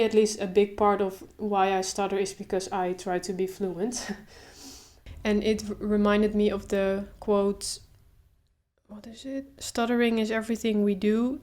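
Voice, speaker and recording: A young woman talking casually to camera, stuttering openly with sound repetitions and pauses, then easing into a relaxed, slow delivery. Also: mid-range voice. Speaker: video creator.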